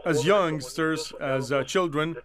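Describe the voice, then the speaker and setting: A man's voice: on the high side, slow pace, a crowd in the background. A man from Israel with an Israeli accent, a guest telling his personal business story over the phone. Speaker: guest speaker.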